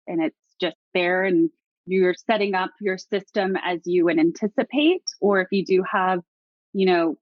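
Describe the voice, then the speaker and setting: A woman's voice: fairly low pitch, dry recording, lo-fi sound. A woman who works in customer success, answering in an upbeat, promotional way. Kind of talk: casual interview answer.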